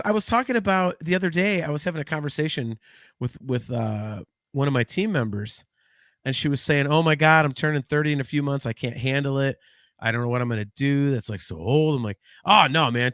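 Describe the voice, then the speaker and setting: A man's voice: mid-range voice, a bit bright, dry recording. Man, 40s, podcast co-host, rambling, swears, restarts a lot, dry close sound. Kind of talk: casual podcast chat.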